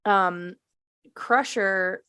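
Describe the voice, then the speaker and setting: A woman speaks in a casual group discussion. Her voice sits at a medium pitch and she is informal, emphatic and enthusiastic. The recording is very dry. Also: extremely slow, pushed and bright, clean tone, no room sound. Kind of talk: casual panel chat about a TV show.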